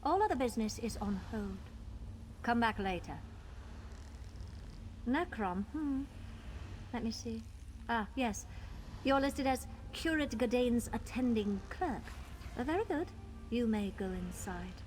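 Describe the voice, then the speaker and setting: A woman's voice: fairly high pitch, lively intonation, a little slow, long pauses, a little mellow. A woman voicing an officious, formal council clerk in a fantasy video game. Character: officious female clerk guarding a wizard council. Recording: faint background ambient noise.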